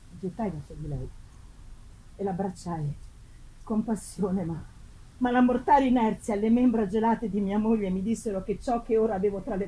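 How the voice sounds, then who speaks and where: A woman giving an intense, halting dramatic reading of a gothic novel, repeating words for effect and swinging between hushed and loud delivery. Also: medium pitch, low-quality recording. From Italy. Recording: live festival recording with faint noise. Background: live musical accompaniment.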